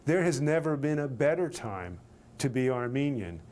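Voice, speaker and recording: A man's voice: mid-range voice, animated, normal speed, darkish tone, slight background noise. An American man speaking reflectively and emphatically in a documentary interview, building his point in repeated phrases. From United States.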